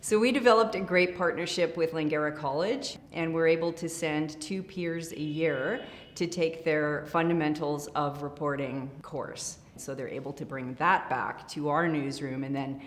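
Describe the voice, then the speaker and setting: Low-voiced woman in an echoey, busy room. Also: normal speed. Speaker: editorial director of a peer newsroom. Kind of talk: interview remarks and meeting talk. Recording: roomy echo, chatter of a meeting.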